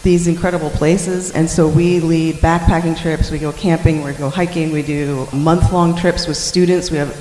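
A woman's voice: low voice, fairly even intonation, moderate pace, hardly any pauses, mellow, slight background noise. A woman with an American accent talking earnestly and informally as a panelist in an on-stage discussion. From Oregon, United States. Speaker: panelist, artist and activist. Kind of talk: panel discussion, extemporaneous talk. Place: large reverberant hall, live panel. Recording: echoey hall with long reverb.

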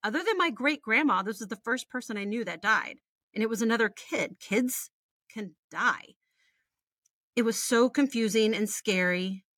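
A woman's voice: fairly high pitch, lively intonation, normal speed, a bit bright, dry recording. American woman in her forties, dry close recording. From Texas, United States. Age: 40s. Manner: candid, wry, swearing casually. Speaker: podcast co-host. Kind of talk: reading a personal true story aloud with chatty asides.

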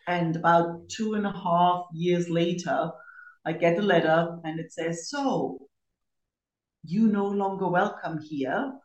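A woman's voice: lowish voice, takes it slowly, a little mellow, muffled recording. A middle-aged woman from East Germany, telling her own story conversationally as a podcast guest, with informal asides. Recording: roomy, echoey sound.

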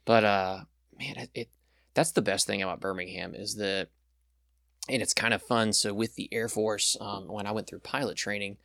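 A man's voice: fairly low pitch, fairly even intonation, normal speed, creaky, a bit bright, dry recording, studio quality. A young man chatting as a podcast guest, rambling and enthusiastic with lots of fillers. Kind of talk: casual chat.

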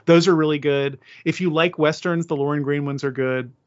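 A man's voice: fairly high pitch, fairly even intonation, moderate pace, no room sound, dull audio. A man hosting a podcast in a conversational, opinionated way, speaking emphatically into a close microphone. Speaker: podcast host.

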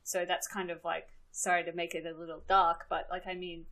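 A woman's voice: on the low side, flat delivery, a little fast, a bit bright. A woman in her thirties with an Australian accent, a guest chatting on a podcast and often laughing. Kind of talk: casual podcast chat.

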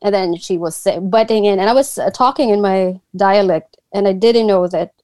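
A woman in her thirties from the Philippines talks as an interview guest, often pausing and restarting her sentences. Her voice is mellow and soft-edged, and the recording is dry and close, with no room sound. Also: medium pitch, no echo. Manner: hesitant, many restarts and fillers. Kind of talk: casual interview conversation.

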